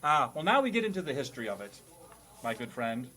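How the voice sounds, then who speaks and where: A man's voice: medium pitch, sing-song, quick pace, sharp tone. A man hosting a casual comedic quiz as a teacher, explaining things in a nerdy, wordy, playful way. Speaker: quizmaster host playing a teacher.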